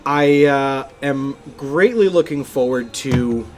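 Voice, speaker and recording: A man's voice: mid-range voice, takes it slowly. A man co-hosting a podcast in casual, jokey banter, with mock-formal turns of phrase. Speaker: podcast co-host. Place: inside a game store.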